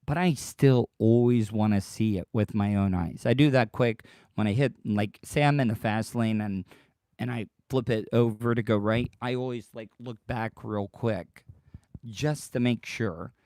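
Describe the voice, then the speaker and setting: A man hosting his own podcast livestream, rambling and repeating himself as he talks straight to his listeners, his voice worn deeper than usual by tiredness. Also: a little slow, a little mellow, no echo, clean studio sound. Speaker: podcast host. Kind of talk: casual solo livestream monologue.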